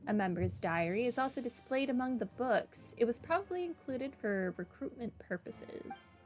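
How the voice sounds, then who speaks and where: A woman's voice: fairly high pitch, lively intonation, normal speed, faint music behind. A woman doing let's play commentary and reading game lines aloud in a dry, joking manner.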